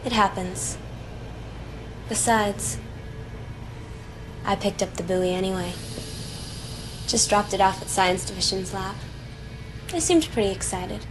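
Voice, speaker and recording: A woman's voice: medium pitch, slow, long pauses, close to an everyday voice, low-quality recording. An actress playing a cool, confident female space pilot in naturalistic video game dialogue. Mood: cool, teasing. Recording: music behind the dialogue.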